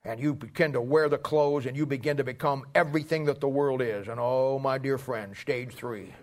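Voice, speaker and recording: A man's voice: medium pitch, normal speed, darkish tone. A middle-aged man preaching a sermon with anecdotes in a folksy, emphatic way, often repeating phrases for weight.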